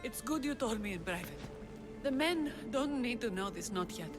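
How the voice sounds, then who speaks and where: A woman's voice: a little high, lively intonation, moderate pace, in a large hall, lightly acted. An actress playing a proud young woman leader, teasing and intense, in a game cutscene with music. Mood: grieving.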